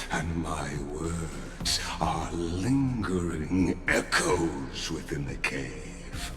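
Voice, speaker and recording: An ancient genius villain from a video game, with a very low voice and a slow, deliberate pace. He is grave, pompous and coldly philosophical. His words echo as he speaks in theatrical, condescending monologue. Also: a bit bright, full character voice. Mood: cold, scornful. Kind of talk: dramatic scripted video game voice acting. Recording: echoing voice over background music.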